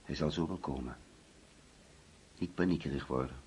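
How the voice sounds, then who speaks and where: An actor in a radio drama playing a placid middle-aged husband and father, calm and soothing. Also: a bass voice, normal speed, slight background noise. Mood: calm, reassuring. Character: placid older husband and father, calmly reassuring.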